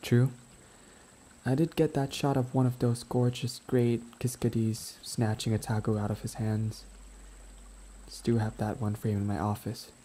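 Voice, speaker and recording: A man playing a gentle, timid, grieving best friend in an intimate audio roleplay, speaking softly and haltingly as he confides. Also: mid-range voice, flat delivery, close to an everyday voice. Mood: wistful, fond. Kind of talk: boyfriend ASMR audio roleplay, intimate dramatic acting. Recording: noticeable background ambient noise.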